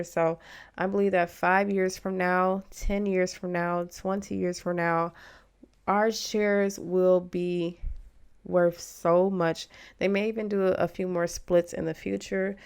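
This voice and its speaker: Crisp-voiced woman with a touch of fry. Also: fairly low pitch, level tone, unhurried, a little mellow. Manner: encouraging, reassuring advice-giving. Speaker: host of a stock investing channel for beginners. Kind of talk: informal explanatory video commentary.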